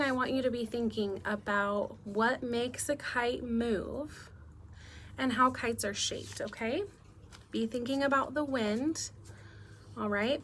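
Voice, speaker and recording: A woman's voice: on the high side, slight background noise. A woman teacher doing a read-aloud lesson for young children, instructive and often asking them questions. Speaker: elementary school teacher.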